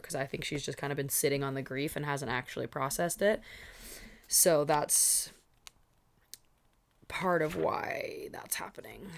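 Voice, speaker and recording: Young woman hosting a book stream, kinda scratchy tired voice, low-ish and smooth underneath, rambly. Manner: chatty, rambling, many fillers and asides. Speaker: streamer hosting a live read-along. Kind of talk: casual chat to a live audience.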